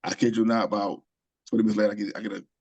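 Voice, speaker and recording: A man's voice: fairly low pitch, level tone, racing, a little mellow, slightly rough, dull audio. A man from Queens, New York, telling stories as an interview guest, rambling with many ums and restarts. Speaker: author and screenwriter guest. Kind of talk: casual interview storytelling.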